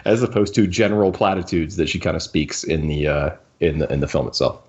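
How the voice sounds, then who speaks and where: A man's voice: very deep voice, brisk, a little mellow, breathy edge. A man co-hosting a podcast in casual conversation, with wry humor, on slightly muffled audio. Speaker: podcast co-host. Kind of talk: casual chat.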